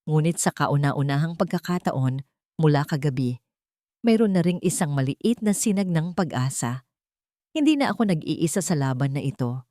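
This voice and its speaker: Low-voiced woman narrating a drama, close and dry. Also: lively intonation, moderate pace, warm tone, no room sound. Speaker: story narrator. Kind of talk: scripted first-person dramatic narration.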